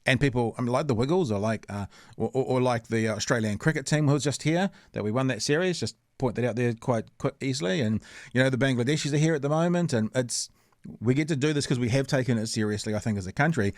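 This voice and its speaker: A man's voice: mid-range voice, fast, dry recording, clean studio sound. A man from New Zealand with a Kiwi accent hosting a casual podcast interview, chatty and winding in long sentences. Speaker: podcast host.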